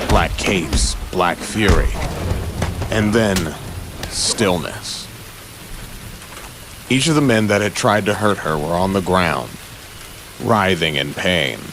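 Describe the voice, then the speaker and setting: A man's voice: sits low, animated, slow pace, long pauses, over music. A man narrating an audio drama in a suspenseful, dramatic scripted style, with short punchy phrases.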